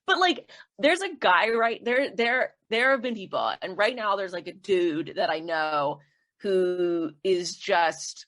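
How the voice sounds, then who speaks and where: A woman's voice: mid-range voice, lively intonation, slow pace, a bit bright. A woman chatting as a podcast guest, laughing often and acting out the people she quotes. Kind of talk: casual interview chat.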